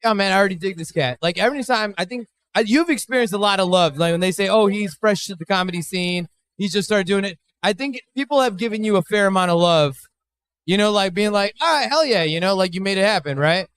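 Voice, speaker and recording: Guy from chicago hosting a bar podcast, high voice, loose and cussing a lot. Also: quick pace, a bit bright. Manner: loose, swearing, interviewing. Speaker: podcast host. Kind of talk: casual live podcast chat. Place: live at a bar.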